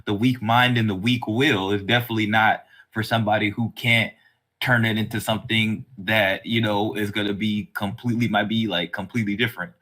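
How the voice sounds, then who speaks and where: A man with a fairly low, bright and forward voice hosts a podcast interview. His delivery is casual and rambling, as he works his way around each point. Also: steady tone, fairly quick.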